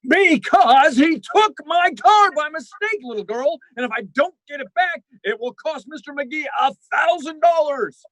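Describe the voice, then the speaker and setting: Sardonic radio-play doctor male actor, high-pitched, dry-sounding recording, sputtering, insulting, easily exasperated. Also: expressive, moderate pace, few pauses, fairly bright tone, dry recording, low-quality recording. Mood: exasperated, frantic. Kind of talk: vintage radio comedy acting.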